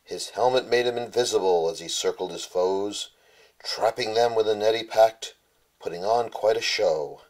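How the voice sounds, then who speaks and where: A man reads a children's storybook as its author. His voice sits on the low side. The delivery is rhymed bedtime storytelling. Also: moderate pace, darkish tone, poor audio. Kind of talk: rhyming bedtime storybook narration.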